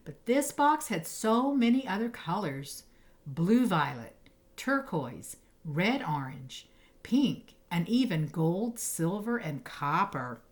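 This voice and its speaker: A woman reading a children's picture book aloud, with expressive phrasing and emphasized words. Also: mid-range voice. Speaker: storybook reader.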